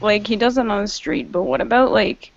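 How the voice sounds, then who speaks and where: A woman's voice: medium pitch, brisk, gravelly. A woman from New Hampshire asking curious questions in casual chat, with lots of "like". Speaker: caller guest. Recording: dry dull lo-fi audio.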